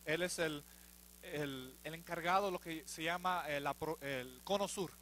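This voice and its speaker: A man introduces a guest speaker, stopping often as he searches for words. His voice is bright and a little high, picked up from a distance with a faint hum behind it. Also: measured pace. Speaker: event host introducing the speaker.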